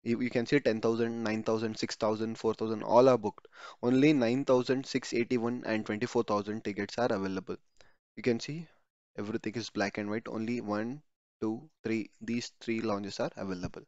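A man's voice: lowish voice, fairly even intonation, moderate pace, darkish tone, no echo. Man, tutorial voice-over, casual, self-correcting, dry close sound. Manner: conversational, repeats words, self-corrects mid-word. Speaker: YouTube tutorial host. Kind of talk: screen-recorded walkthrough narration. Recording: dry, slightly dull home voice-over.

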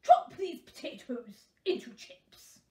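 A woman's voice: sits high, wildly swooping pitch, slow pace, dark tone, roomy sound, slight background noise, heavily acted. A woman voicing a hungry, fussy, bossy king in a children's story, with an emphatic, commanding delivery.

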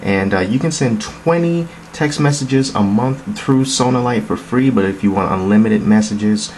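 A man's voice: mid-range voice, moderate pace, a little mellow. A man casually narrating a tech demo in an informal, explanatory, conversational way, recorded indoors. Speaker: app demonstrator. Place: indoors, phone demo on a wooden table. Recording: noticeable background noise.

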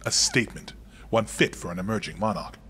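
An actor playing a formal, pompous criminal right-hand man in a video game, husky, with precise wording. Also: on the low side, animated, fairly quick, sharp tone, long reverb tail, a little hiss or hum. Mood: haughty. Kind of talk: cinematic video game acting.

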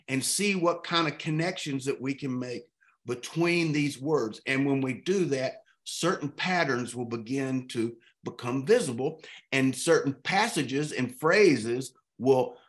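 Thoughtful man from East Texas on a video call. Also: medium pitch. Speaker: literature discussion leader. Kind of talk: animated literary discussion. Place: home room with art on walls.

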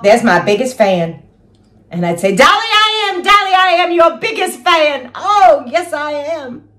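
A woman's voice: medium pitch, fairly bright tone. A woman playing a chatty, giddy housewife and mother of seven who gushes and talks to herself while putting on makeup. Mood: gushing. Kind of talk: comic character monologue.

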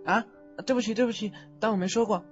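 A young man playing a gentle, naive ghost boy in a Chinese audio drama, speaking hesitantly. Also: high-pitched, quick pace, close to an everyday voice. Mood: shy. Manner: hesitant, soft-hearted, stammering. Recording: dull lo-fi audio with music.

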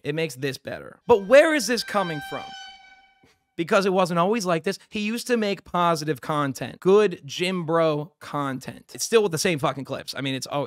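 A man's voice: on the high side, dry recording. Sarcastic young man with a dry close mic. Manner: sarcastic, frequently swearing, acts out mocking quotes. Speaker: streamer and commentator. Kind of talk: casual commentary on clips. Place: small bedroom studio indoors. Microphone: studio mic close up on a boom arm.

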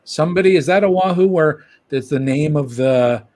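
A man's voice: on the high side, normal speed, darkish tone. A middle-aged man co-hosting a video call, talking in a rambling way and trailing off mid-thought. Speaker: co-host, puppeteer. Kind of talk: casual video call conversation. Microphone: video call from home.

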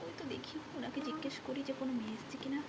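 Woman doing a vain young man doll, high-voiced. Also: very fast, heavy background noise. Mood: searching, puzzled. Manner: boastful, haughty, mixes English words into speech. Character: vain, snobbish young man, self-styled handsome success. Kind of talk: children's doll puppet play. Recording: loud music behind the voice.

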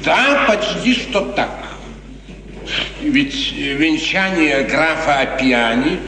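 An actor playing a sly, sneering, obsequious court chamberlain with insinuating theatrical delivery in a 1950s Soviet radio play. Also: male voice, fairly high pitch, lively intonation, slow pace, noisy background. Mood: sly, mocking. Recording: distant, reverberant 1950s radio recording.

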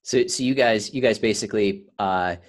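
A man with a very low voice hosts a casual interview. His phrasing is halting, with repeated words as he works toward a point. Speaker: podcast host, interviewer.

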